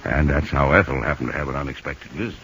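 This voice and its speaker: An actor playing a folksy, colloquial baseball coach who tells the story in a 1950s radio drama. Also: male voice, rumbling low, quick pace, fairly bright tone, lo-fi sound, a little hiss or hum. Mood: wistful storytelling. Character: gruff, folksy older male baseball coach telling the story. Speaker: narrator and supporting character. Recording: old radio broadcast with music behind.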